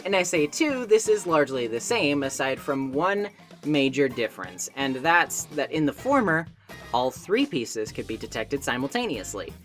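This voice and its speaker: A woman's voice: extremely low pitch, sing-song, moderate pace. A woman narrating a scripted video essay as a game reviewer, with a wry, opinionated, conversational manner. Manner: wry, opinionated, conversational asides with mild swearing. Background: background music.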